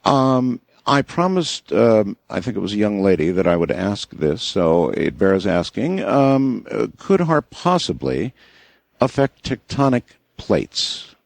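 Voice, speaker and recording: Low-voiced man hosting late-night radio. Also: big pitch swings, measured pace, vocal fry, no echo. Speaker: radio talk show host. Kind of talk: late-night radio interview, posing questions.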